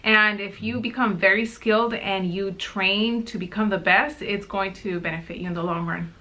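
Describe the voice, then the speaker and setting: Woman with a bright crisp voice, real estate talk, upbeat and encouraging. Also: mid-range voice, steady tone, moderate pace. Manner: encouraging, agreeable. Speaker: real estate agent and host. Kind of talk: casual conversation.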